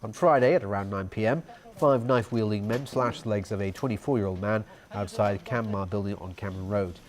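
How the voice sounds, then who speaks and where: A man reading the evening news as anchor, in a steady, formal delivery. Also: on the low side, a little fast.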